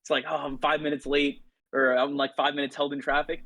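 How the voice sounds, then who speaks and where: A man's voice: on the high side, level tone, brisk, fairly bright tone. A man who grew up in Italy, a podcast guest chatting in a loose, enthusiastic, rambling way. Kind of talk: casual podcast chat.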